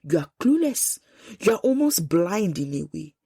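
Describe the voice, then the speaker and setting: A Nigerian woman in her thirties hosting a solo podcast, congested with a sore throat, talking in an emphatic, animated way. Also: on the low side, moderate pace, husky, dry recording, studio quality. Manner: animated, emphatic, quotes people in lively asides. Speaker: podcast host.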